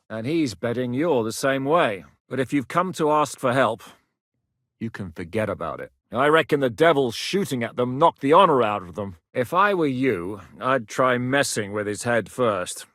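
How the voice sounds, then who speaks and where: A man's voice: medium pitch, animated, moderate pace, a bit bright, dry recording, lightly acted. An actor playing a grizzled male war captain in a medieval video game, blunt, commanding and dryly sardonic, recorded in a studio booth. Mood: advising. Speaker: mercenary leader in a medieval game.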